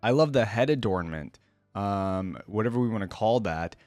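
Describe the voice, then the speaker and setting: A man's voice: lowish voice, animated, moderate pace, darkish tone, no echo. A man giving casual, informal reaction commentary as a video host, musing aloud as he goes.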